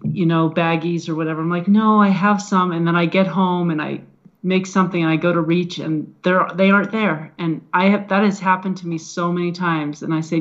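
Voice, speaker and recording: A woman's voice: fairly low pitch, highs cut off. A middle-aged woman co-hosting a show in casual, conversational talk. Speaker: radio show co-host. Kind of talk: casual chat.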